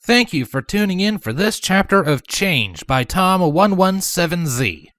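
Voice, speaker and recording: A man's voice: sits high, big pitch swings, measured pace, a bit bright, dry recording. A man hosting a fan fiction channel, addressing listeners in an outro.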